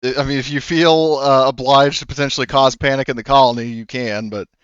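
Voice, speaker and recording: A man's voice: medium pitch, steady tone, brisk, a bit bright, smooth, no room sound, highs cut off. A man running a tabletop game as game master, joking and laughing as he prompts the players.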